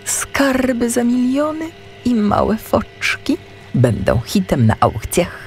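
A woman's voice: fairly high pitch, huge pitch swings, slow, darkish tone, soft background music, heavily acted. A woman playing a greedy, gloating villain in a children's audiobook, drawing out her words. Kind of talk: audiobook character acting.

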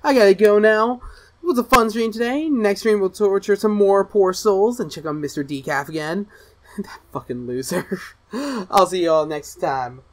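A woman playing an excitable, mischievous gamer girl streamer who gleefully torments people in a livestream roleplay. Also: mid-range voice, moderate pace, natural voice. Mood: upbeat.

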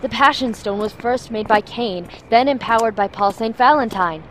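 An actress playing a headstrong, short-tempered mentor in a fantasy audio drama, earnest and insistent. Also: female voice, a little high, moderate pace, fairly bright tone, a little hiss or hum, lightly acted. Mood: earnest, explaining.

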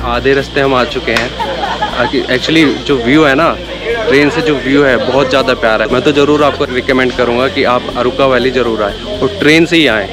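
A man's voice: mid-range voice, normal speed. A man vlogging casually from a train journey, chatting to viewers and mixing English words into his Hindi. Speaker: travel vlogger. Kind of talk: casual travel vlog narration. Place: on a train and at stops along the way. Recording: loud background music. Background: music.

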